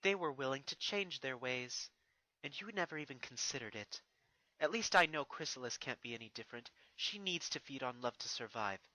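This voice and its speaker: A man's voice: mid-range voice, expressive, fairly quick, pushed and bright, no room sound, poor audio. A man voicing a regal, stern female pony princess who speaks in formal, solemn speeches with a wounded resolve. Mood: firm, reproachful.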